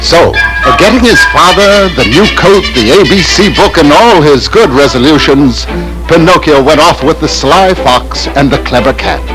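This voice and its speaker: A man narrating a children's fairy tale in a dramatic, expressive storytelling style. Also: fairly high pitch, normal speed, music in the background, low-quality recording.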